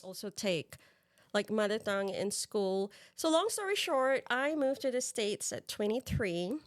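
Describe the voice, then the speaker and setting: A woman's voice: mid-range voice, sing-song, a little slow, no room sound, studio quality. A woman from Singapore chatting casually as a guest on a podcast. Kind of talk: casual chat.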